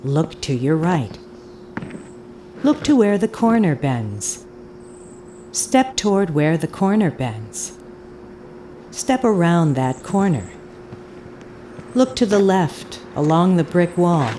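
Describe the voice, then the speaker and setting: A woman playing an eerie audio tour guide in an audio drama, giving deliberate instructions with hypnotic repetition. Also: on the low side, big pitch swings, mellow, slight background noise. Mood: calm, commanding. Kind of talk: scripted audio drama narration. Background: ambient field recording and foley sounds.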